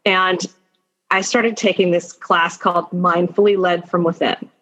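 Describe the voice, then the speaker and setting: A woman speaking as an interview guest in a conversational, informal way, thinking aloud in halting phrases with many asides. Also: fairly low pitch, flat delivery, a bit bright. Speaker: podcast guest, attorney and executive. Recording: dull lo-fi sound.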